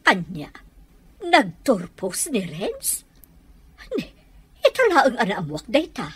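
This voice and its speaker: A woman with an Ilocano accent playing a bossy, nosy middle-aged mother in a radio drama, with a husky voice. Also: mid-range voice, huge pitch swings, slow, full character voice. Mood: suspicious.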